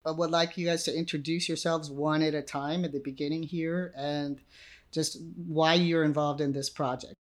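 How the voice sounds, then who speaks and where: A podcast host reads the opening and prompts guests in a clear, easy way. The voice sits mid-pitched. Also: flat delivery, moderate pace. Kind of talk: podcast hosting.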